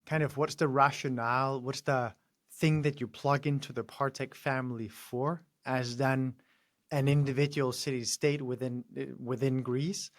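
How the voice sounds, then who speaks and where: A man's voice: medium pitch, steady tone, moderate pace, no echo, studio quality. A man hosting a podcast, asking long, winding questions. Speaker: podcast host. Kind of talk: interview questions.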